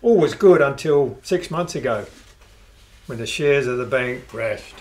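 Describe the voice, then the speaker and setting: An actor playing a calm, cheeky bandit in an amateur radio play. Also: male voice, medium pitch, a little slow.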